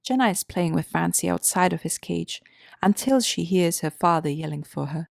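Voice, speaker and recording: A woman's voice: lowish voice, expressive, moderate pace, gravelly, no echo, clean studio sound. A woman narrating an audio drama in a scripted storytelling style.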